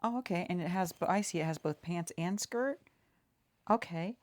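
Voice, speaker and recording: A woman speaking softly and casually in an ASMR roleplay as a seamstress, halting and often repeating small reassurances in a murmur. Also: on the low side. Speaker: ASMR creator playing a seamstress. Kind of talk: soft-spoken ASMR roleplay.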